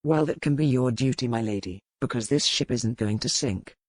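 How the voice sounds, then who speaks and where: A woman playing a fierce male pirate captain with a dry, measured, formal manner in an audiobook romance. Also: very deep voice, darkish tone, no room sound, pitched below the performer's own voice. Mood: dry, amused.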